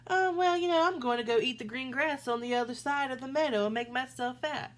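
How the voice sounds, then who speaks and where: A woman's voice: sits high, a little fast, voice pushed up, close to an everyday voice. A woman voicing a big, fearless male billy goat in a storybook reading, cocky and taunting.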